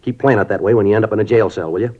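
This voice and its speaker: An actor playing a stern middle-aged police sergeant who narrates in a clipped, matter-of-fact way. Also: male voice, on the low side, very fast, natural voice. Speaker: detective narrator. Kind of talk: 1950s radio acting. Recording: dull old radio recording with music.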